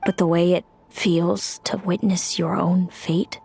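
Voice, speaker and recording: A bitter, resentful fae sister. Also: female voice, lowish voice, flat delivery, vocal fry, darkish tone. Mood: cool. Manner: cool, bitter, measured. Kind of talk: game voice acting. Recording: game audio with faint music.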